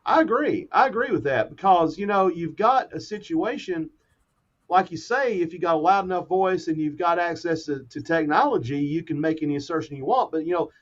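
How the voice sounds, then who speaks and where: A man in his fifties, speaking as a guest expert in a podcast discussion with a measured, explanatory manner. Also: fairly high pitch, muffled recording. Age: 50s.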